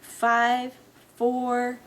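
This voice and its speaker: A woman voicing a bossy, excitable, nerdy boy scientist in a story reading. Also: a little high, drawn-out words. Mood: bossy, precise.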